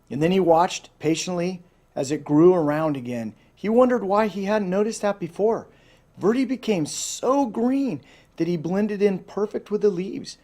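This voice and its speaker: A man reading a children's story aloud in an expressive storytelling style, with casual asides to listeners. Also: on the high side. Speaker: storybook reader.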